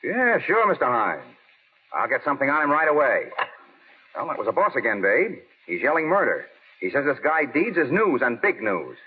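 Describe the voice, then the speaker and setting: An actor playing a gruff, pushy big-city newspaper editor with a husky voice in old radio style. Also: male voice, mid-range voice, very animated, normal speed. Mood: urgent, pushy. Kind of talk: 1930s-40s radio drama acting. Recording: muffled old radio broadcast.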